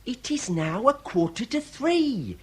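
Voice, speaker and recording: An actor playing an earnest, deferential young police assistant in a Victorian comedy radio drama, speaking formally with a British accent. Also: male voice, very high voice, sing-song, measured pace, full character voice. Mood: patient, deferential. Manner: formal, respectful, dutiful.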